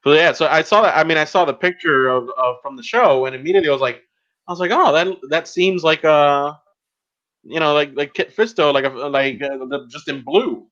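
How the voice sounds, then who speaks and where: A man hosting a casual podcast interview, rambling and halting as he works toward his questions. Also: on the high side, fairly bright tone, lo-fi sound. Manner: halting, rambling, filler-heavy.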